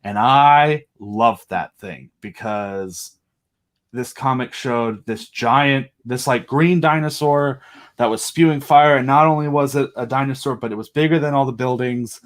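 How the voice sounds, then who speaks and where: A man's voice: mid-range voice, a little slow. Jokey man chatting as a podcast guest. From southern United States. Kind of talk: casual chat, telling personal stories.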